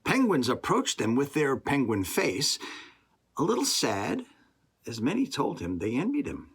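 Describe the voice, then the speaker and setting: Slow, very animated male storyteller. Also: medium pitch, breathy edge. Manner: deliberate, expressive read-aloud with pauses. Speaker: children's storyteller. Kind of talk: rhyming scripted story narration.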